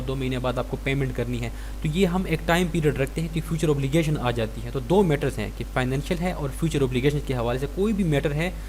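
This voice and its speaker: A Pakistani man with a slightly high voice gives an instructional lecture. He reads formal passages deliberately, then explains them step by step, switching between two languages. A faint hum sits behind his voice. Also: a little mellow. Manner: code-switches between Urdu and English legal terms. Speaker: law lecturer. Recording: noisy recording with low hum.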